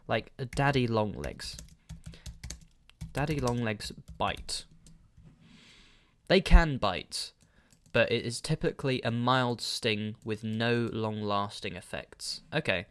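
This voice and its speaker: A man's voice: medium pitch, takes it slowly, pauses often, smooth. A young man from London with a southern British London accent, hosting a casual solo podcast in a rambling, self-correcting, chatty way. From London, England. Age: young adult. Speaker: podcast host.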